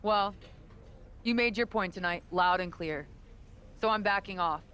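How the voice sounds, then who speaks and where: A woman playing a proud veteran wrestling champion and earnest mentor in a video game cutscene, speaking in a measured, assured way. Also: medium pitch, a bit bright, lightly acted. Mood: earnest, conciliatory.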